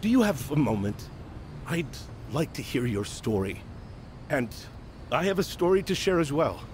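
An actor playing a polite, humble young-in-faith street evangelist in an audio drama, eager yet hesitant and deferential. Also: male voice, a little high, very animated, normal speed, background noise.

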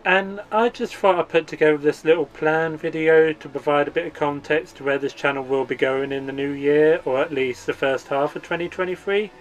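A man's voice: a little high, fairly even intonation, brisk, few pauses, rough recording. A man hosting a gaming channel, giving an earnest, upbeat channel update read as a voice-over.

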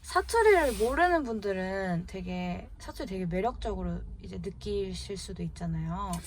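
A woman's voice: medium pitch, lively intonation, moderate pace. Woman interviewer over faint ambient noise. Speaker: interviewer reading viewer questions. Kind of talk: polite informal interview.

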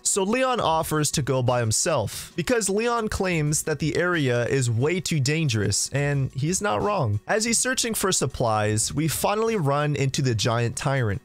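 Male game commentator, playful and animated, slightly high voice, jokey asides, music underneath. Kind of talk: scripted game walkthrough commentary.

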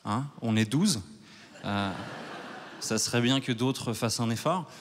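A man in his thirties from Switzerland doing a stand-up set on a large theater stage with a handheld mic. He talks in a wry, self-mocking way and works up to loud mock outrage. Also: medium pitch, lively intonation, a little slow, a little hiss or hum. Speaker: stand-up comedian. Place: large theater stage before a live audience.

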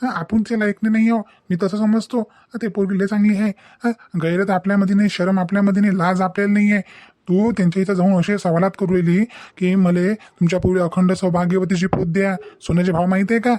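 A man's voice: sits high, flat delivery, fast talker, darkish tone, dry recording, lightly acted. A man playing an indignant, principled young son in a cartoon, lecturing emphatically in a Vidarbha Maharashtrian dialect. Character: indignant, principled young adult son defying his mother. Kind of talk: comic family drama cartoon dubbing.

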